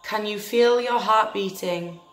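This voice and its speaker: A woman leading a guided meditation in a gentle, soothing, deliberate manner, leaving long pauses between phrases. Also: mid-range voice. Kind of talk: guided meditation, scripted narration. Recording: roomy echo with faint music behind.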